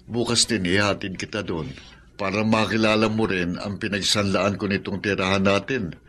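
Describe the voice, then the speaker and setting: A man's voice: on the low side, fairly even intonation, moderate pace, a bit bright, slight background noise, lightly acted. An actor playing a humble, worried father in debt, hesitant and deferential, in a Filipino radio drama with a Filipino accent. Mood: worried, resigned.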